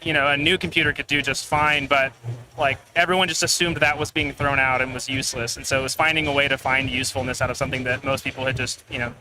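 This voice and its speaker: A young man answering interview questions in a relaxed way, often filling with "like". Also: mid-range voice, fast, rough recording. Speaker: guest creator. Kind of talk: casual live interview. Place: busy expo floor. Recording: live expo crowd behind.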